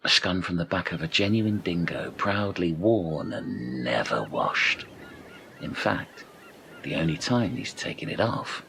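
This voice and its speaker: A man's voice: deep voice, vocal fry, bright, forceful tone, slight background noise, exaggerated voice. A man acting a menacing but easily sidetracked castaway gang leader in a comedic radio play, with a theatrical, sweary delivery.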